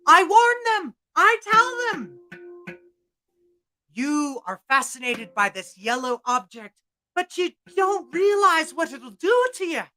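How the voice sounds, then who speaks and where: A woman's voice: very high-pitched, big pitch swings, slow pace, pushed and bright, faint music behind, pitched above the performer's own voice, heavily acted, poor audio. A woman voicing a grumpy, weary leprechaun cobbler who scolds in exasperated, folksy storybook speech. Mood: grumbling, exasperated.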